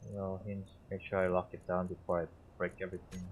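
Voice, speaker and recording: A man whose first language is Korean, streaming a game and thinking aloud in a halting way with many fillers. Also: low-pitched, monotone, a little hiss or hum. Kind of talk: casual gameplay commentary.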